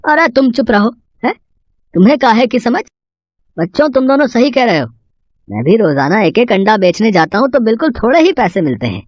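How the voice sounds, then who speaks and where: Lady playing a greedy farmer guy in a kids cartoon, proud, high voice, dry close sound. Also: big pitch swings, a little fast, darkish tone, dry recording. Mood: proud, dismissive. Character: greedy, proud male village farmer, easily swayed. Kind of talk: cartoon moral story dialogue.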